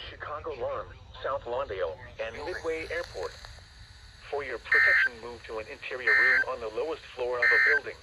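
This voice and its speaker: A synthetic male announcer voice reading scripted emergency weather warnings over the radio in a formal, measured manner. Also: medium pitch, lo-fi sound. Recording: noisy weather radio broadcast, recorded off-air.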